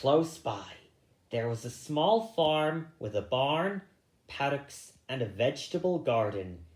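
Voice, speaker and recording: A man's voice: mid-range voice, lively intonation, slow, slight background noise. A man reading a children's story aloud as narrator, recorded in an echoey room. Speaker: story reader.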